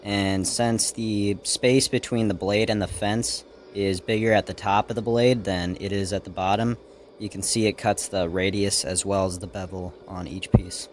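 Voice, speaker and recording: Low-voiced man narrating over background noise. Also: fairly even intonation, moderate pace. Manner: plain, matter-of-fact step-by-step. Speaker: woodworker, video host. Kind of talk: scripted how-to narration.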